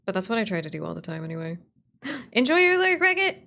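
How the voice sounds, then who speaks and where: A woman's voice: fairly high pitch, very animated, fast talker, gravelly, bright, forceful tone. A woman livestreamer chatting casually and playfully with viewers, rambling and swearing as she goes. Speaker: streamer, writer and voice actor.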